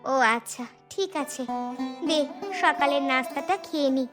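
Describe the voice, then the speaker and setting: A woman's voice: high-pitched, moderate pace, music in the background, close to an everyday voice. A woman voicing a protective elder sister in a cartoon fairy tale, talking in a cautioning, reassuring manner. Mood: mild.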